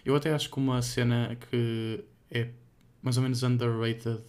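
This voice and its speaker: Young Portuguese man, flat-pitched casual chat. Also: moderate pace. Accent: European Portuguese. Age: young adult. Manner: slangy, informal. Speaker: podcast co-host.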